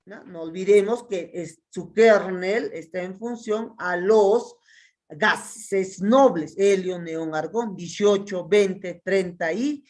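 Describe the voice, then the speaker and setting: Slow-paced Peruvian woman teaching chemistry. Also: mid-range voice, darkish tone. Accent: Peruvian Spanish. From Peru. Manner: asks students questions and answers them herself, counting step by step. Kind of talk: classroom lecture solving exercises.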